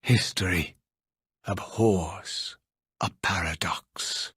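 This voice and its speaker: An actor voicing a tormented, fatalistic male wraith narrating a video game cutscene in a solemn, portentous, measured way. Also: sits low, fairly bright tone, husky, no echo, clean studio sound, exaggerated voice. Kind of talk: scripted dramatic game narration.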